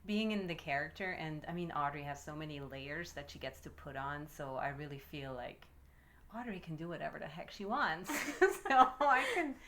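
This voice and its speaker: A woman's voice: sits low, normal speed, pushed and bright, slight background noise. A woman stage actor chatting in a radio interview, laughing often. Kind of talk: casual interview chat. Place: small trailer on an outdoor theatre site.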